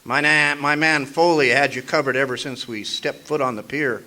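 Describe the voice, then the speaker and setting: An actor playing a wisecracking, doleful private eye in a noir parody radio drama, with a wry, hammy delivery. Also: male voice, mid-range voice, fairly even intonation, moderate pace, pushed and bright, natural voice.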